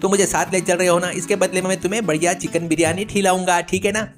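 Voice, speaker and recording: A man's voice: high-pitched, little pitch movement, fast, music in the background, pitched above the performer's own voice, lightly acted. A man voicing a boastful, idle village chatterbox who pushes his tall tales insistently in a children's story. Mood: wheedling. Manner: bragging, insistent, wheedling.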